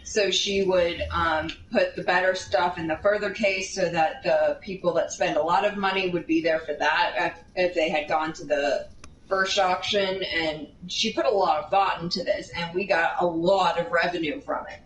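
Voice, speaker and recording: A woman casually telling a rambling anecdote in an animated way, drifting into tangents and acting out what people said. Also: fairly low pitch, normal speed. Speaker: storyteller. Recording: roomy, distant mic, steady background noise.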